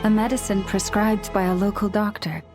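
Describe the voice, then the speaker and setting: A woman playing a gentle, solemn spiritual leader in a video game, speaking in a measured, formal way. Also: medium pitch, little pitch movement, lightly acted. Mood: solemn, regretful. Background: music.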